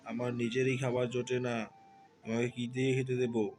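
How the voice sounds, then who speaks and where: A man voicing a poor, grumbling farmer in a children's cartoon, gruff, wary and out for his own gain. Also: medium pitch, monotone, normal speed, a little mellow, faint music behind, natural voice. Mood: grudging.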